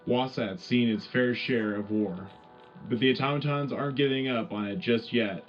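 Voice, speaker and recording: A man's voice: mid-range voice, normal speed, natural voice. Guy doing a propaganda war radio host, folksy and rousing, dull radio sound w music behind. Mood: rallying, confident. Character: upbeat propagandist male wartime radio news host. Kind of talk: scripted radio war bulletin.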